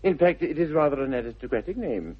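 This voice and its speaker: An actor with a British accent playing a smitten, flustered upper-class young Victorian gentleman in a 1960s radio comedy, hemming and gushing in a plummy manner. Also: fairly high pitch, animated, quick pace, husky. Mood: flustered, pleading. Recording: dull vintage 1960s radio recording.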